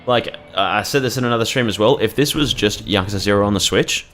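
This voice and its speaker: A man's voice: fairly low pitch, fast talker, a bit bright. A man streaming a video game and giving casual, rambling commentary, with many fillers and restarts. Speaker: gaming streamer. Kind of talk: casual live-stream commentary over gameplay.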